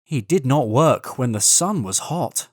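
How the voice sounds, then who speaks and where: A storyteller reads a children's tale at an unhurried, deliberate pace. The pitch swings expressively from phrase to phrase, and the sound is dry with no room around it. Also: lively intonation, a little mellow, no echo. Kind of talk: scripted children's story narration.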